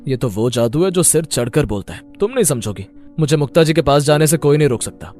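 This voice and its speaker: A man's voice: on the high side, expressive, quick pace, darkish tone, faint music behind, natural voice. A man playing a stubborn, neglected young husband in a melodramatic story, with an emphatic, aggrieved manner. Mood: defiant. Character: neglected, stubborn young husband Aditya, smitten with another woman. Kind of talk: melodramatic Hindi moral story acting.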